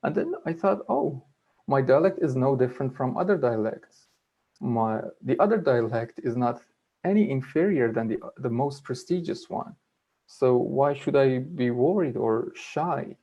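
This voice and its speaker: A man's voice: medium pitch, expressive, mellow. Earnest man with a Persian-Kurdish accent. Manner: earnest, halting with frequent restarts. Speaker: webinar speaker. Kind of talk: personal talk at a webinar. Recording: dull lo-fi webinar audio.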